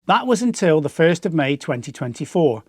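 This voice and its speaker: A man's voice: a little high, very animated, moderate pace, darkish tone, husky, no echo, studio quality. A man with a British accent presenting a scripted explanatory piece as the host of a hobby channel. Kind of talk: scripted explanatory narration to viewers.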